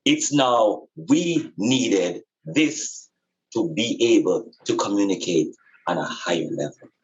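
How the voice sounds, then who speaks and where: A man's voice: on the low side, lively intonation, slow, muffled recording. A man speaking as a spiritual teacher in conversation, earnest and emphatic, repeating phrases for effect.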